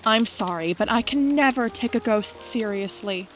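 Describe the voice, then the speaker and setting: A woman acting in an audio drama as a teasing, stubborn young skeptic with a brisk, argumentative manner. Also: fairly high pitch, faint music behind, natural voice. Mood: dismissive.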